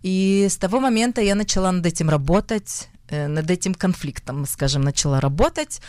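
A young woman with a Russian accent speaks in a lowish voice, pausing often and reaching for the right word. Her voice sits dry and close, with no room sound behind it. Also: lively intonation, darkish tone, no room sound. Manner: hesitant, searching for words, frequent fillers. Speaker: actress, interview guest. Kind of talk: conversational interview.

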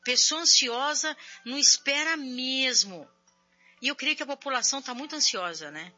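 Chatty woman radio host, bright voice. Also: high voice, lively intonation, moderate pace, lo-fi sound, soft background music. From São Paulo, Brazil. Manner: chatty, warm preaching tone with frequent "né?". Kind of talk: religious call-in radio talk.

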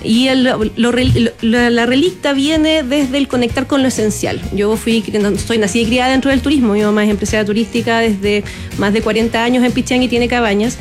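A woman with a Chilean accent talks as a guest on a radio show, her speech eager and flowing. Her voice sits a little high. Faint music hums underneath her. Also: steady tone, brisk. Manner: enthusiastic, flowing, with Chilean fillers. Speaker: tourism entrepreneur guest. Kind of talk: radio interview.

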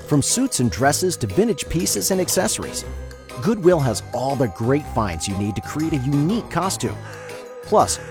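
A man reading a scripted retail ad in a lively, upbeat tone. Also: medium pitch. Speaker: commercial voice-over.

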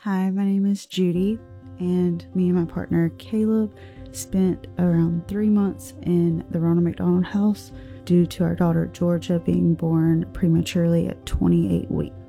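A woman's voice: lowish voice, flat delivery, a little slow, dark tone. A young woman giving a sincere, heartfelt testimonial as a parent. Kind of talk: recorded testimonial. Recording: guitar music behind.

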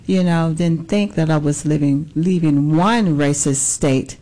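A woman in her sixties speaks with a Southern US accent as an interview guest. Her voice is notably low and very mellow. She talks conversationally, in measured phrases that sometimes hesitate and repeat. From Birmingham, Alabama. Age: 60s. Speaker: political candidate, interview guest.

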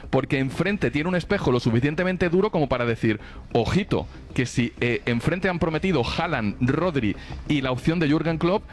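A man's voice: on the high side, lively intonation, moderate pace. A man with a Castilian Spanish accent, a sports journalist talking colloquially in an unscripted football debate. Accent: Castilian Spanish from Spain.

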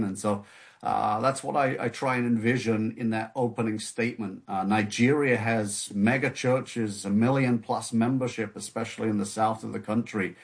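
A man from the United Kingdom, a guest author in an interview conversation, speaking thoughtfully with frequent fillers and restarts. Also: on the low side. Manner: hesitant, many restarts and fillers.

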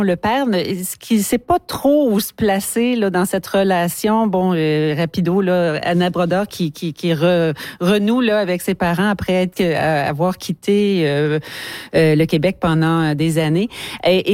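Chatty woman, lowish voice. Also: a little mellow, no echo, studio quality. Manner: chatty, halting, many fillers. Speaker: radio panelist. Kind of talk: casual discussion.

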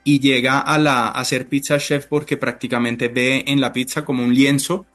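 A man speaking Spanish, giving halting, deliberate conversational answers as a chef being interviewed. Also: medium pitch, little pitch movement, fairly bright tone, faint music behind. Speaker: interview guest, restaurant chef.

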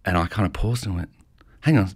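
A man's voice: sits low, a little fast, husky. A middle-aged Australian man with a stutter, answering interview questions in an informal, self-deprecating, storytelling way. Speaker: pastor.

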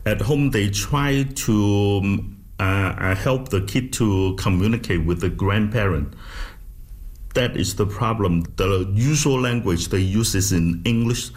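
A man with a very low voice talks about his teaching in an interview. He is plain and matter-of-fact, pausing with fillers as he thinks. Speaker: language teacher.

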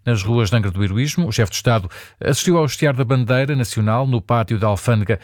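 A man reading a formal radio news bulletin in a studio, brisk with an occasional slip. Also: mid-range voice, darkish tone, no echo. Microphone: studio mic.

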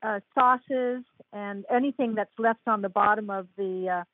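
A woman's voice: mid-range voice, normal speed, no echo. A woman guest author chatting emphatically in an interview, retelling exchanges with animation. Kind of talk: casual interview talk. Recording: tinny phone-like line, dry.

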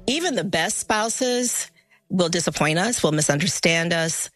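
A woman's voice: on the low side, lively intonation, unhurried, loud music behind the voice. A woman hosting a faith radio show, interviewing guests in an affirming way. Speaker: guest host.

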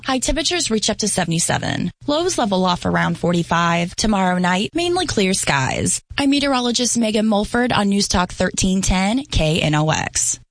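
A woman meteorologist reading a radio weather forecast in a brisk, polished way. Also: mid-range voice, no echo.

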